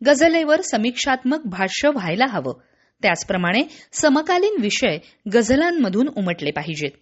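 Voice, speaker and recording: A woman reading a scripted regional radio news bulletin in a formal, measured way. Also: a little high, muffled recording.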